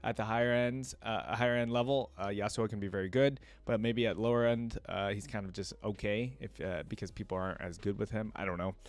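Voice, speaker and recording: A man giving casual gaming reaction commentary as a host, rambling and thinking out loud as he goes. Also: fairly low pitch, steady tone, a little fast, few pauses.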